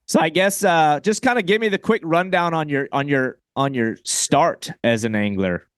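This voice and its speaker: A man's voice: on the high side, normal speed, clear, resonant tone, dry recording. Man hosting a podcast, close dry sound. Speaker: podcast host. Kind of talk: conversational interview.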